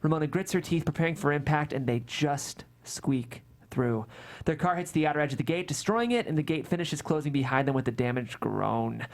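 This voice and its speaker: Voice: medium pitch, animated, a little fast. A narrator reading scripted screenplay stage directions aloud at a table read.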